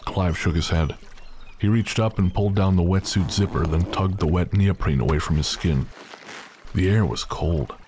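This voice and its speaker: A man narrating an audio drama in a measured, somber storytelling manner. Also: low voice, noisy background. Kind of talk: scripted narration.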